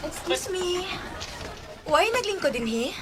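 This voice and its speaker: A woman's voice: extremely high pitch, big pitch swings, slow pace, noisy background, full character voice. A woman playing a friendly, sweet young woman with a Cebuano accent in a Philippine radio drama.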